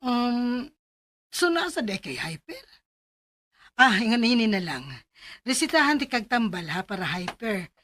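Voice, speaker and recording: An actress with a Cebuano accent playing a calm female doctor who explains and advises in a Bisaya radio drama. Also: on the high side, measured pace, long pauses, full character voice. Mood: calm, advising.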